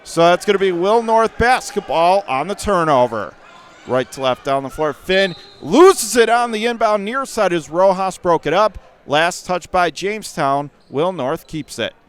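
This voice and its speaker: High-pitched excited basketball announcer man. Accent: Western New York. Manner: rapid calls that surge to excited shouts on big plays. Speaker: radio play-by-play announcer. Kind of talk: live basketball play-by-play. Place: high school gym.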